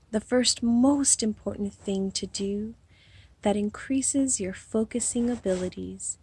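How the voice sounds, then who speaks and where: A woman leading a guided hypnosis meditation in a soothing, unhurried manner, with a breathy, soft-edged voice and long pauses between phrases. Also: medium pitch, no room sound.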